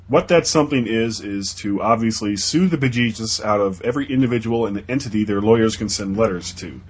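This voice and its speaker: Co-host, lowish husky man's voice, wry newsreader with a sarcastic edge. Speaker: podcast co-host. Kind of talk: reading news items, casual podcast chat.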